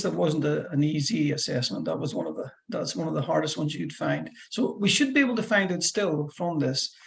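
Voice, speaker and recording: A man's voice: fairly high pitch, vocal fry. A middle-aged man with a Fife Scottish accent, a driving instructor explaining things in a chatty, rambling way with many restarts. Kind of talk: one-to-one lesson over a call.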